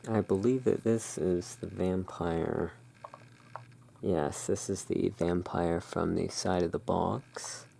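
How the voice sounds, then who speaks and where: An ASMR host in a gothic shopkeeper roleplay, musing in an unhurried, gently whimsical way while commenting on cards. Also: deep voice, steady tone, gravelly, husky. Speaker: host playing a gothic curiosity shop owner.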